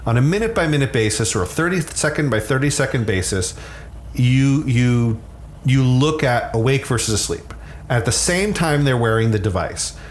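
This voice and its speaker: Lively male expert over background noise. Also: medium pitch, moderate pace. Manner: hesitant starts, repeats words, candid. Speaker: sleep scientist, expert guest. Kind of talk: conversational expert explanation.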